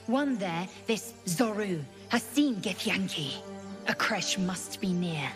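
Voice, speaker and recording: A woman's voice: mid-range voice, animated, slow, soft background music. A woman playing a haughty alien warrior in a fantasy game, imperious and clipped in manner. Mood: haughty, curt. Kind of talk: cinematic fantasy game acting.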